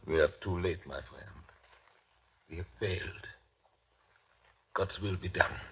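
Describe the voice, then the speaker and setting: A grave, learned older doctor in radio horror. His low voice moves slowly and portentously, in halting, clipped phrases. It comes through a dull 1930s recording. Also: a bit bright, husky, heavily acted. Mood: sombre, defeated. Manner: halting, portentous, clipped foreign-sounding syntax. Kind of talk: 1930s radio drama acting.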